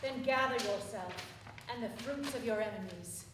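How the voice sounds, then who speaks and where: A woman's voice: on the high side, moderate pace, background noise, close to an everyday voice. A woman playing an earnest, fervent devotee in a stage play, declaiming her lines. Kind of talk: live stage acting. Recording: very echoey theatre, distant mic.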